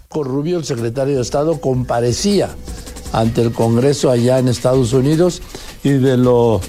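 Mellow-voiced male newsreader. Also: medium pitch, normal speed, faint music behind. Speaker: news presenter. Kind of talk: news bulletin.

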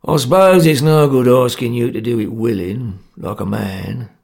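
A man voicing a grim, stern male ringleader, talking gruffly. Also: mid-range voice, moderate pace, a little mellow. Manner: gruff, severe, matter-of-fact.

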